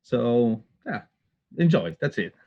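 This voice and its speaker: A man's voice: mid-range voice, very animated, takes it slowly, gravelly, warm tone, lo-fi sound. A man from Latin America co-hosting a casual chat, making brief laid-back remarks.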